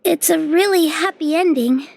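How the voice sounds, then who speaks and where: A woman voicing a gentle, earnest, bookish little girl in a fantasy game. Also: very high voice, moderate pace, vocal fry, no echo. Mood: hesitant, eager. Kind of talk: game character dialogue.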